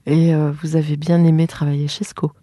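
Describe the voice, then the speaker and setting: A woman's voice: low voice, little pitch movement, fairly quick, warm tone, no echo, studio quality. A woman hosting the interview, asking brief prompting questions, heard a bit off mic. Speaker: podcast host, interviewer.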